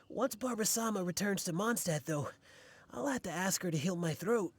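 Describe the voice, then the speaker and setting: A man playing an earnest, devoted young fan in an anime video game, excitable and hesitant. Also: sits high, measured pace, husky, full character voice. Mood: weary, earnest. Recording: game music behind.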